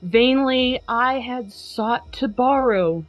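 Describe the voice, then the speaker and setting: A woman's voice: a little high, slow, dry recording. A woman hosting a podcast in an upbeat, chatty way, laughing easily and also reading a poem aloud with drama. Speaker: podcast host.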